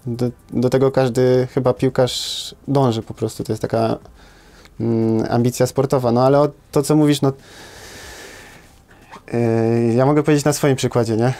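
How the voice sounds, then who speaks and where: Footballer guest in his 30s, hesitant, mellow low timbre, small studio. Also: flat delivery, normal speed. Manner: hesitant, many fillers and tag questions. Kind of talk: casual interview answers. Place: small studio with brick wall.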